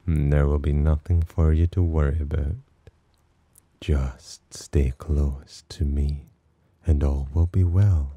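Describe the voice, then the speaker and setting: A man playing a sly, possessive demon in an ASMR roleplay, speaking in a smug, teasing, softly commanding manner with a husky voice. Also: fairly even intonation, takes it slowly, no echo, exaggerated voice. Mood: solemn, possessive. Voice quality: very deep, dark, husky. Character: possessive, amused, sly male demon protector. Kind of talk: ASMR roleplay, slow intimate acting.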